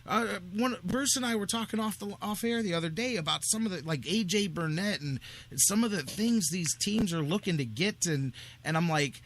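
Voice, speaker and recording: A man's voice: high-pitched, expressive, normal speed, a bit bright, a little hiss or hum, low-quality recording. A man hosting a sports talk show, giving emphatic, opinionated commentary in a casual conversational style. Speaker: sports radio host.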